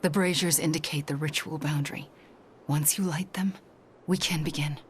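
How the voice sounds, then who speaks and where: Lowish, assured actress as sorceress. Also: female voice, steady tone, moderate pace, slight background noise, close to an everyday voice. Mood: focused, grave. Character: focused, assured sorceress leading a ritual. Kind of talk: video game voice acting.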